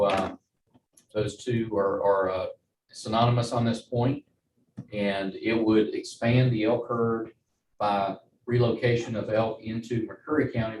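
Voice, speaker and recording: A man giving a formal staff presentation at a meeting, in a halting, measured way. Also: lowish voice, little pitch movement, a little mellow. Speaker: wildlife agency staff presenter. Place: meeting room. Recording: roomy, distant mic, faint noise.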